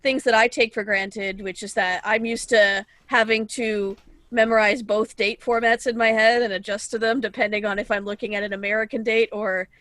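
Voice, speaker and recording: A woman's voice: mid-range voice, flat delivery, moderate pace, fairly bright tone, no echo. A woman co-hosting a podcast, telling anecdotes in a chatty way and laughing easily. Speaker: podcast co-host. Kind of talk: casual podcast chat.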